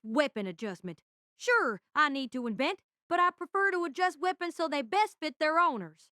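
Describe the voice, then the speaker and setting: Woman doing friendly nerdy engineer boy, really high bright voice, chatty, asks loads, audio drama. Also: lively intonation, normal speed, dry recording, clean studio sound. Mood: enthusiastic, friendly. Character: eager, friendly young male engineering senpai.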